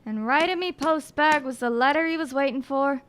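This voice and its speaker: A woman plays a village postal carrier in a radio drama, chatty and folksy. The voice is high and bright, and likes to say things twice for emphasis. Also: normal speed. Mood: grave. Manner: folksy, repeats phrases for emphasis. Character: chatty village postal carrier and bell-ringer, plain-spoken.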